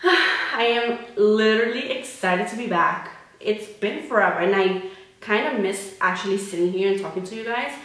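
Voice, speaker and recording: A young woman in her twenties chats straight to camera in a lively, expressive way, now and then slipping Spanish words into her English. Her mid-pitched voice bounces around a bare, very echoey room, picked up by a camera mic at desk distance. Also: normal speed. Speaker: vlogger. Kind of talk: casual chat to camera.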